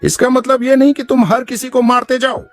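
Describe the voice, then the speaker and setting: A man's voice: very high-pitched, fairly even intonation, a little fast, dry recording, soft background music. A man voicing an earnest, reasoning train passenger in a cartoon story. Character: curious, reasoning male train passenger and husband.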